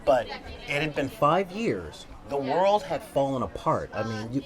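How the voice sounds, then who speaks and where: A man's voice: mid-range voice, unhurried. A middle-aged man on a convention panel, talking casually and acting out quoted characters as he tells a story. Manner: animated storyteller, acts out quoted voices. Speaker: panel guest. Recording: crowd noise, wind on mic.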